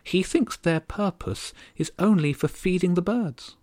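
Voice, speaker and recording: A man's voice: measured pace, no echo, studio quality. A man doing a tiny regal starling queen. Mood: indignant. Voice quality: high and prim. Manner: haughty, clipped and sharp.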